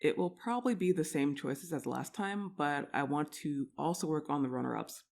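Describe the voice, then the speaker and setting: A woman's voice: low voice, normal speed, creaky, a little mellow. A woman chatting casually to her listeners as an audio roleplay creator, in an informal, rambling, self-deprecating way.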